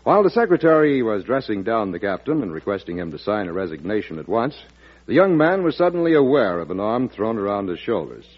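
A man's voice: lowish voice, big pitch swings, a little fast. A man announcing and telling a story in an emphatic, polished old-time radio style. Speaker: announcer and quiz host. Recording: muffled vintage radio broadcast.

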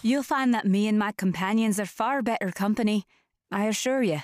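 A woman's voice: medium pitch, moderate pace, no echo, studio quality, lightly acted. A woman playing a polite, formal and protective spirit lady in a fantasy audio comedy. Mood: courteous.

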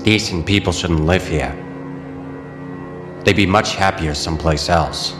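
Man acting an arrogant mobster, audio drama, deep voice, dismissive, music underneath. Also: unhurried. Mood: smug menace. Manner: smug, dismissive. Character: arrogant, cool male gangster talking to his lover. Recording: roomy echo, music underneath.

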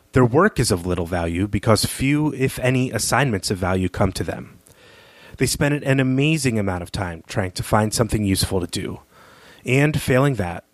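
A man with a fairly low voice speaks as a literary podcast co-host. His delivery is measured and analytical, and the recording is dry with very little room sound. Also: no room sound. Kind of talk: literary discussion, partly reading aloud.

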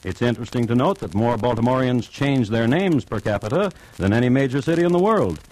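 An actor playing a droll, urbane crime-story host, narrating an old-time radio drama in a dry, wry manner. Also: male voice, medium pitch, animated, brisk, darkish tone, lightly acted, poor audio.

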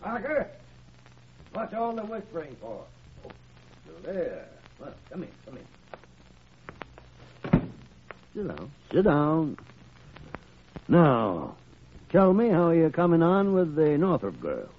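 An actor playing a gruff, stubborn old senior doctor in a 1940s radio drama, blunt and grumbling, with a raspy voice. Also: male voice, on the high side, wildly swooping pitch, slow pace, pauses often, slight background noise, heavily acted. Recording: tinny, narrow old radio recording.

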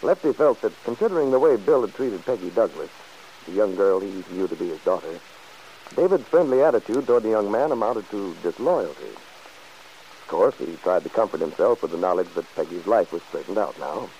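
Male narrator, lowish pitch, scripted 1940s radio storytelling, faintly hissy old recording. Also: animated, moderate pace, dark tone, dull audio.

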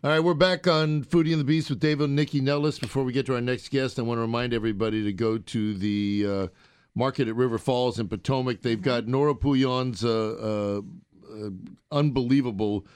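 A man's voice: medium pitch, fairly even intonation, brisk, a little mellow, clear, resonant tone. A man hosting a talk radio show, chatting casually with a joking, teasing manner.

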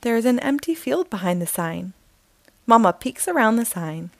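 Woman storytime reader, bright high voice with sing-song lift, dry close mic. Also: gravelly, no room sound. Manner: slow, expressive, sing-song reading. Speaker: storytime host reading aloud. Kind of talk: children's picture book read-aloud.

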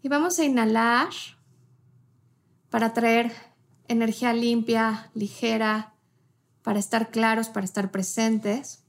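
A woman leading a guided meditation with gentle instructions, in short phrases with pauses between them. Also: a little high, steady tone.

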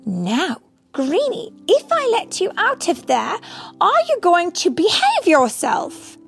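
A woman's voice: very high voice, huge pitch swings, slow, vocal fry, faint music behind, pitched above the performer's own voice, exaggerated voice. A woman voicing an affectionate, bossy young cartoon puppy girl playing mother in a storybook, with exaggerated childlike expression.

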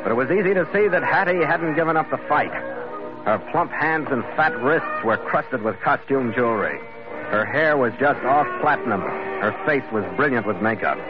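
A man's voice: mid-range voice, lively intonation, normal speed, natural voice. An actor playing a tough, wry ship's captain who narrates his own adventure in an old-time radio style. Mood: wry narrating. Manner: wry, hard-boiled. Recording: muffled old radio, loud music.

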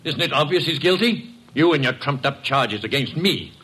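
An actor playing an indignant, accusing husband under suspicion in an old radio mystery, agitated and scornful in delivery. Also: male voice, a little high, animated, moderate pace, pushed and bright, breathy edge, full character voice. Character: indignant, blustering, accusing husband, a male suspect. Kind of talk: 1950s American radio melodrama acting. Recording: vintage radio drama recording.

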